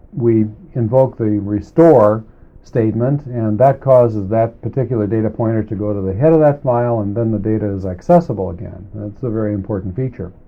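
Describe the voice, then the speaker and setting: A man working as an instructor, giving a deliberate, explanatory spoken tutorial and repeating phrases for emphasis. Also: mid-range voice. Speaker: programming instructor. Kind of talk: semi-scripted spoken computer tutorial. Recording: muffled, narrowband old cassette tape.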